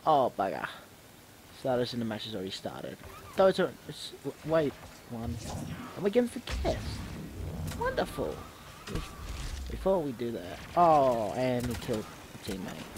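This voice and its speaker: A man's voice: mid-range voice, sing-song, measured pace, pauses often, noisy background. A man with a stuffy, snuffly voice from a cold gives casual commentary on his own video game match. He often rambles and stops to correct himself. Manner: rambling, self-correcting, restarts words.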